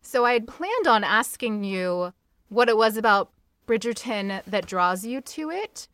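A woman with a fairly high voice hosts a casual podcast interview. She sounds supportive and enthusiastic toward her guest. The recording is very dry, with no room echo. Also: lively intonation, unhurried, fairly bright tone.

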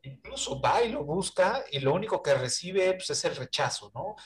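Mexican male film panelist, slightly high-pitched, halting casual delivery. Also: animated. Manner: hesitant, searching for words, often seeking agreement.

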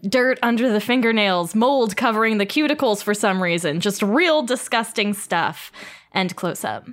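Lively woman reading stage directions. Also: medium pitch, normal speed. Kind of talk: comedic table read.

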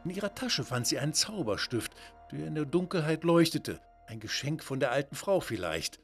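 A man's voice: a little high, very animated, moderate pace, soft background music. A man narrating a scripted children's story in a dramatic, wonder-filled way.

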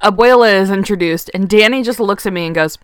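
A woman's voice: medium pitch, fast, smooth. A woman, chatting casually as a co-host and laughing often mid-sentence. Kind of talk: casual chat.

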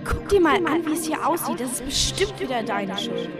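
A woman's voice: sits high, very animated, fairly quick. A young schoolgirl playing a snippy, quarrelsome girl in a school radio play. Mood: accusing. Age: child or preteen. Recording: loud music behind the voice.

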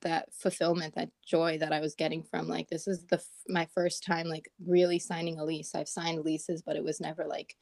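A woman with a raspy morning voice chatting casually as a podcast co-host, talking with lots of "like" and "um". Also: deep voice, flat delivery, moderate pace, hardly any pauses, a little mellow, no echo, studio quality. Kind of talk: casual chat. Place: at home in Portland.

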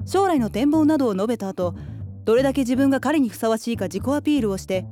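An actress playing a formal, businesslike woman in her late twenties who speaks in cool, polite, measured sentences. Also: on the high side, lively intonation, mellow, soft background music, close to an everyday voice. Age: 20s. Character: prim, formal 27-year-old woman rival. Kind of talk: radio drama acting.

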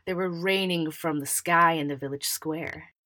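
A woman's voice: deep voice, steady tone, normal speed, sharp tone, no echo. A woman playing an overbearing, scheming and enthusiastic royal elder brother in a fan audio drama, brash and self-satisfied.

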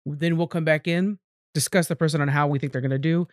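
A man's voice: fairly high pitch, fairly even intonation, fast talker, no room sound, clean studio sound. A man co-hosting a podcast, chatting casually about television in a recap style. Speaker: podcast co-host.

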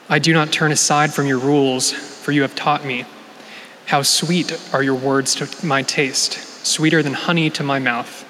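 Scripture reader, man, bright and slightly high voice, measured delivery, long hall echo. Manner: measured, steady reading. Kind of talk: formal scripture reading. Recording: echoey hall with faint noise.

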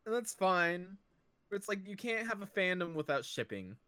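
Smooth-voiced man in his twenties. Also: high voice, sing-song, moderate pace, bright, forceful tone, no room sound. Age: 20s. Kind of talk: casual chat.

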